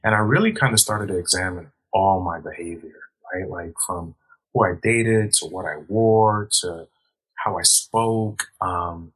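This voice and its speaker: Husky, bright-voiced man talking candidly. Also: low voice, measured pace, poor audio. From Dominican heritage, grew up in the US. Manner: candid, reflective, casual slang, many restarts. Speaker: guest. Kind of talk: casual podcast conversation.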